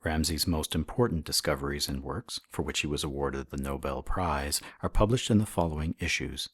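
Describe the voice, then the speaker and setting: A man with a husky voice reads a historical science text as formal, measured audiobook narration. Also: rumbling low, fairly bright tone, no room sound. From Canada. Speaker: audiobook reader.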